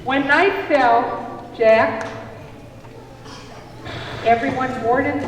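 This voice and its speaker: A woman storytelling theatrically in a puppet show, with a dramatic, deliberate and expressive delivery. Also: high voice. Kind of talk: theatrical live storytelling, addressing a puppet companion. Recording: very echoey hall, distant mic, faint noise.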